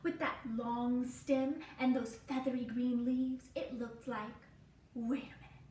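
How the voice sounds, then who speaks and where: A woman reads a children's story aloud like a teacher, with dramatic, deliberate phrasing. Her voice is high and slow. The recording is roomy, as if she is a little way from the microphone. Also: level tone, warm tone. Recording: roomy, distant mic, faint noise.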